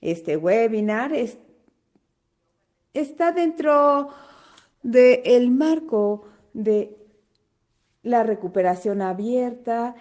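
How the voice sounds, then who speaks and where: An Argentine woman hosting a live online webinar over a video call, greeting attendees one by one in an unhurried way. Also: fairly high pitch, lively intonation, darkish tone. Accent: Argentine Spanish. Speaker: webinar host.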